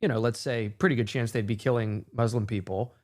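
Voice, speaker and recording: A man's voice: medium pitch, steady tone, brisk, a little mellow. A man in a casual chat, making wry remarks and interjecting agreement.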